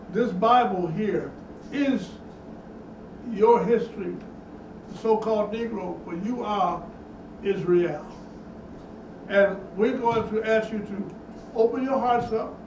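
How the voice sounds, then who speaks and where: A man preaching a Bible teaching sermon as a minister, emphatic and repetitive, building in intensity. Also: high-pitched. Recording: echoey, distant, dull room sound with noise.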